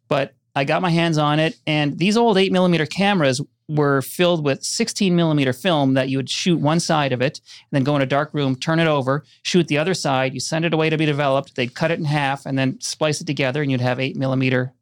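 Middle-aged Minnesota-raised man, filmmaker guest, wry and self-deprecating, slightly high voice, dry sound. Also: steady tone, fast, hardly any pauses, smooth, no room sound. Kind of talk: casual interview storytelling.

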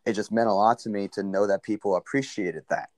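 A young man, a country singer, telling a story in a relaxed interview with many fillers; he has a low baritone voice. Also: a little fast, no room sound.

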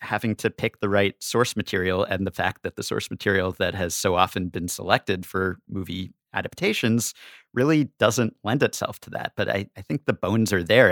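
A man's voice: low voice, vocal fry, fairly bright tone, no room sound, studio quality. A man talking analytically as a podcast guest, casual, wry and careful to qualify what he says. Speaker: podcast guest, staff writer.

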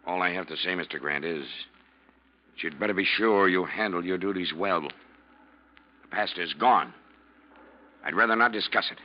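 An actor playing a troubled, defensive ship captain in a classic radio drama, speaking in a halting, strained way that can turn sternly commanding. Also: male voice, sits low. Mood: stern, uneasy. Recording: muffled vintage radio recording.

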